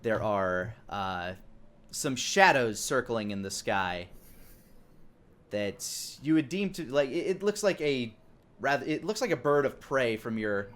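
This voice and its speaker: A man's voice: medium pitch, expressive, a little slow, a bit bright. A man narrating a tabletop game as game master, improvising and searching for words as he describes things. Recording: clearly noisy background.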